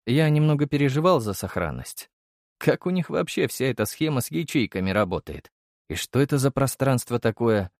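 A man's voice: medium pitch, darkish tone, dry recording, studio quality. A man reading first-person fiction as a scripted audiobook narration.

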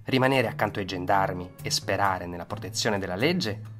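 A man with a fairly low voice tells a story as scripted radio narration. His delivery is solemn and dramatic, built on short, deliberate phrases and emphatic lists. An instrumental guitar soundtrack plays behind him. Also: fairly bright tone.

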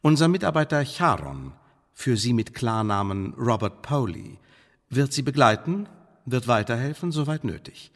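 A man's voice: medium pitch, sing-song, moderate pace. An actor playing a stern, cautious British spymaster in a German radio play, speaking formally and measuredly as he warns and briefs. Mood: stern briefing. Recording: reverberant hall-like room.

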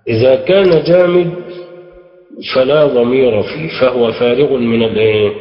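A man's voice: medium pitch, normal speed. A man with an Egyptian accent teaching a grammar class, explaining step by step and quizzing his students with prompting questions. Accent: Egyptian Arabic. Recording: dull lo-fi recording, echoey hall.

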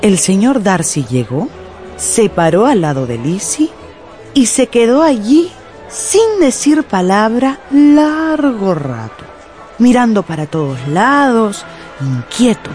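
A woman's voice: medium pitch, sing-song, slow, a little mellow, soft background music. A woman narrating a radio drama as a scripted storyteller.

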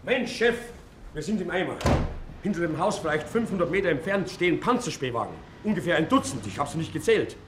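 A man plays a panicky henchman in an old radio gangster satire, urgent and ready to give up. The voice is high and rattles along quickly, in an echoey, noisy recording. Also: expressive, natural voice. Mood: panicky, defeatist. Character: panicky gangster henchman reporting to his boss. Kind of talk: 1950s German radio play acting.

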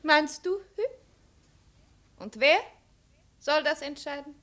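Imperious, high-voiced young princess. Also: female voice, steady tone, slow, a bit bright. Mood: suspicious, probing. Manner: commanding, ironic, probing. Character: imperious, cold, shrewd young Chinese princess. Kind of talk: 1950s German radio drama acting. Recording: 1950s radio studio recording.

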